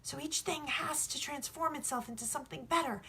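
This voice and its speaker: A woman's voice: high-pitched, fairly quick, sharp tone, pitched above the performer's own voice. A woman voicing an earnest, bold young boy who philosophizes emphatically in a storybook read. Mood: earnest, persuasive.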